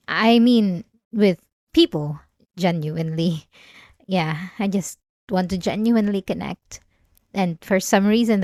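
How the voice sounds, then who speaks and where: Woman playing an obsessive female therapy patient, manipulative, outwardly calm, hesitant phrasing, intimate ASMR roleplay, mid-range pitch, very dry close sound. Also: no echo. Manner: hesitant, halting, with sighs and nervous soft laughs.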